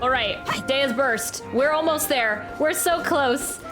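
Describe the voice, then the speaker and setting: A woman's voice: high voice, takes it slowly. A woman streaming a game with casual reaction commentary, thinking aloud and repeating phrases, game music behind her.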